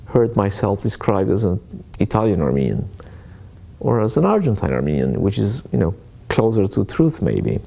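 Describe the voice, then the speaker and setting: Gravelly, mellow-voiced man telling stories. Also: on the low side. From born in Aleppo, Syria. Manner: hesitant, many fillers and restarts. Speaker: journalist interviewee. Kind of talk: reflective interview storytelling.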